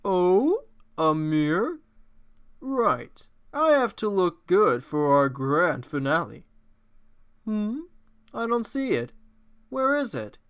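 Flamboyant, swooping robot game-show host. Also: male voice, sits high, sing-song, fairly bright tone, smooth, voice pushed up, full character voice. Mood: preening. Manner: theatrical, campy, drawn-out. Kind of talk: video game character impressions.

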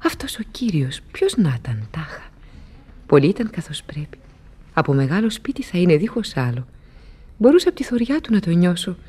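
An innocent young maiden in radio theatre. She muses to herself timidly, breathy and expressive, her pitch moving widely. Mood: curious, dreamy. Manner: musing to herself, hushed in places. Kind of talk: theatrical radio drama acting.

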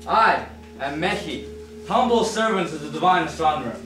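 A man's voice: on the high side, expressive, normal speed, bright, forceful tone, soft background music. A young man playing a pompous herald of a divine astronomer, declaiming theatrically on stage. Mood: solemn, commanding. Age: young adult. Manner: pompous, proclaiming. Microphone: far camera. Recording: roomy stage, distant camera, background noise.

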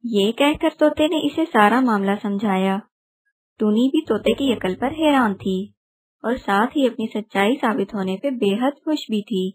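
A woman's voice: on the high side, lively intonation, measured pace, slightly rough, low-quality recording. A woman narrating a scripted children's story.

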